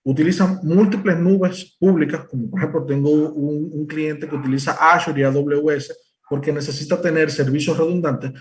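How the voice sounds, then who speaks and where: A man's voice: fairly high pitch, fairly even intonation, moderate pace. A young man with a Dominican Spanish accent giving a technical product presentation, explaining step by step with many fillers and restarts. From Dominican Republic. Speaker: presales engineer presenting.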